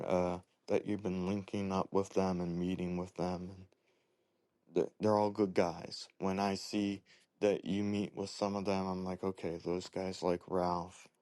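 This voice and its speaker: A man leaving a casual voicemail as a listener, talking haltingly in short clipped phrases. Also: low-pitched, a little mellow, clean tone, dry recording.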